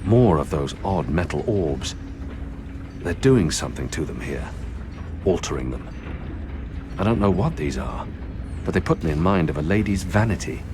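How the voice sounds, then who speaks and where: An actor playing a calm, grim male warrior fugitive in a video game, who speaks in short, measured, deadpan lines. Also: very deep voice, background noise. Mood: musing.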